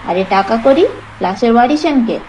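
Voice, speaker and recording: A woman's voice: fairly high pitch, expressive, moderate pace, darkish tone, slight background noise, natural voice, rough recording. A woman voicing a brusque male police inspector who talks in a blunt, businesslike way in an audio story.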